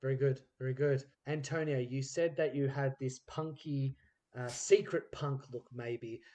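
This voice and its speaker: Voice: mid-range voice, fairly even intonation, unhurried, warm tone. A podcast interviewer with a middle-range voice. The delivery is casual and informal, with an encouraging way of putting questions to guests.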